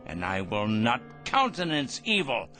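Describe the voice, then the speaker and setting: An actor playing a cold, self-righteous crank obsessed with punishing evil in a radio drama, pompous and sneering in formal, precise diction. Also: male voice, mid-range voice, huge pitch swings, unhurried, sharp tone, soft background music, full character voice. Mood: fanatical, dismissive.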